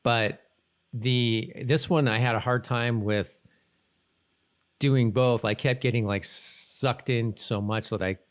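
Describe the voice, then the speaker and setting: A man hosting a podcast in casual chat, talking in a halting, rambling way and often correcting himself. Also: mid-range voice, steady tone, clear, resonant tone. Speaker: podcast host.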